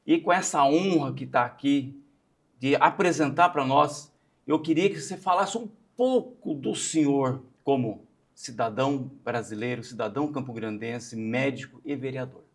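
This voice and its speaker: A man's voice: medium pitch, normal speed. A Brazilian man hosting a podcast in a studio, introducing and interviewing in a conversational style.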